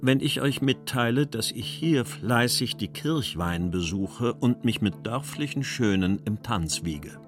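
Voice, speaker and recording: An actor voicing a weary, wry post-war writer reading his letters and poems in a measured, carefully articulated way. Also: mid-range voice, animated, faint music behind, natural voice. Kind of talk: literary reading of letters and verse for a radio feature.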